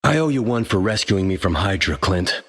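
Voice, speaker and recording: A man's voice: sits low, animated, normal speed, a bit bright, long reverb tail. A man voicing a wry, battle-worn soldier hero with a metal arm in a video game, delivering dry quips.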